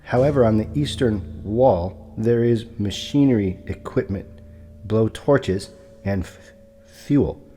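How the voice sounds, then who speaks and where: An actor playing a meek, courteous, big property broker who stammers on his consonants and speaks in a formal, halting way in an audio drama. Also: fairly low pitch, dark tone, slight background noise. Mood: polite, hesitant. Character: large muscular male property broker, meek, anxious, courteous.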